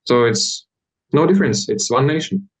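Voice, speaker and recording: A man in his twenties from eastern Ukraine with a Ukrainian accent, a native Russian speaker, answering interview questions in halting English with frequent pauses. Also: mid-range voice, animated, husky. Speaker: interview guest. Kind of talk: conversational interview.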